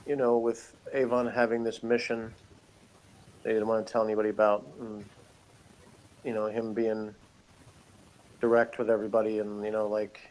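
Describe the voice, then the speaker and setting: A man's voice: medium pitch, poor audio. A man co-hosting a TV review in casual, unscripted talk, rambling and a little hesitant. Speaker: co-host of a TV review show. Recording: faint background noise.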